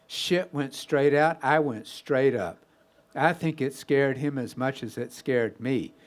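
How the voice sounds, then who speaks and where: A man's voice: medium pitch, slow pace, close to an everyday voice. A man telling a comic story as a weary, rambling deer with a folksy, conversational manner. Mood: amused, startled. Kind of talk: first-person comic storytelling.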